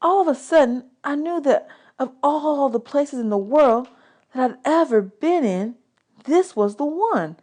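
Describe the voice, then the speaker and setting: A woman with a somewhat high-pitched voice reads a children's novel aloud. She delivers the first-person narration expressively, in the way of a teacher reading to pupils. Key words are drawn out for emphasis. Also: expressive, unhurried, a little mellow.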